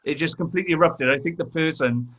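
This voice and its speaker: A man's voice: fairly high pitch, fast, fairly bright tone, slightly rough. Welsh man hosting, dull audio. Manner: chatty, leading questions, tells anecdotes. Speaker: podcast host. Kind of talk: casual podcast interview.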